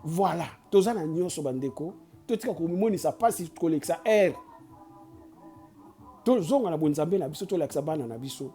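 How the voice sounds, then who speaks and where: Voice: medium pitch, animated, a little fast, mellow, faint music behind. A host from Kinshasa with a Congolese accent, giving an impassioned, preachy address that switches between Lingala and French and often stumbles and restarts mid-sentence. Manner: preachy and urging, with frequent restarts and stammers. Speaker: channel host.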